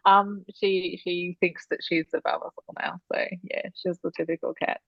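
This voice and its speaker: Brief: Australian woman author guest, low-ish pitch, dry lo-fi remote audio, casual and giggly. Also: little pitch movement, moderate pace, vocal fry, no echo. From Australia, born in Malaysia. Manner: chatty, giggly, lots of "yeah" and "like". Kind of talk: casual video-call interview.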